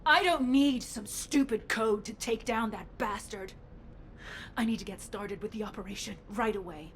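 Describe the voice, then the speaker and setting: A woman's voice: mid-range voice, normal speed, slight background noise, natural voice. A woman playing a vengeful, impatient cyborg commander in a video game, blunt, defiant and heated. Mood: determined. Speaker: voice actor. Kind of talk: dramatic game dialogue.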